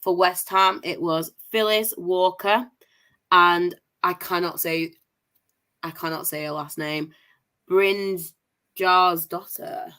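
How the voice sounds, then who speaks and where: A woman's voice: lowish voice, steady tone, slow pace, bright, forceful tone, clean tone. Chatty, posh-sounding woman host. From Manchester, England. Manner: upbeat, chatty, reels off scores with enthusiasm. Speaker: host of a women's football review show. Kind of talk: casual livestream results roundup.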